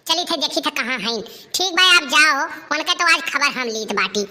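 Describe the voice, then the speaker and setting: A woman acts out a hot-tempered village mother from a comic cartoon. Her voice is high and piercingly sharp, with an Awadhi accent. She rants, complains and curses like someone worn out by chores and her wayward child. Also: expressive, normal speed, full character voice. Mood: furious. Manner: ranting, complaining, cursing.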